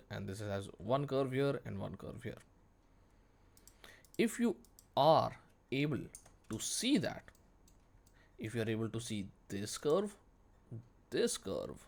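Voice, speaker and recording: A man's voice: medium pitch, creaky. A man teaching in an informal tutorial, speaking bluntly and emphatically and repeating phrases for stress. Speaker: 3D modelling teacher. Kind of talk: informal screen-recorded tutorial commentary.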